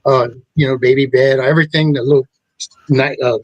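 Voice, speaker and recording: A middle-aged man hosting a talk show, speaking in a rambling, halting way with many restarts. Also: mid-range voice, fairly even intonation, dry recording, dull audio. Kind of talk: casual chat.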